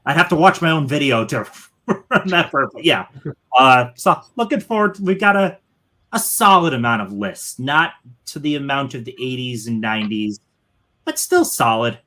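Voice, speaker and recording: A man's voice: medium pitch, lively intonation, moderate pace, sharp tone. A man in a casual online chat, hesitant and searching for words. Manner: hesitant, many fillers and restarts. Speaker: guest creator.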